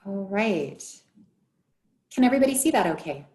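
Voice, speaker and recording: A woman with a quiet voice presenting as a town community services manager over a video call. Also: mid-range voice, sing-song, moderate pace. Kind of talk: online meeting presentation.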